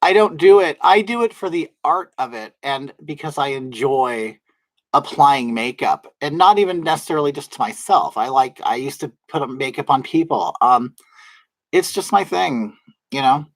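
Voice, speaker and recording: A man with a soft, feminine-sounding voice, rambling casually to his livestream viewers with lots of fillers and restarts. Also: medium pitch, animated, moderate pace, no room sound, rough recording. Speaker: livestream host. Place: at home in drag, wig on.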